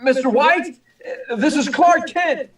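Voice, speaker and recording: A man plays an earnest young reporter pressing his case in an old radio play. His voice is high for a man and urgently insistent, heard a little away from the mic in an echoey room. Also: slow pace, a bit bright, recorded from a distance, exaggerated voice. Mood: eager, pressing. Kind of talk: 1940s radio drama acting.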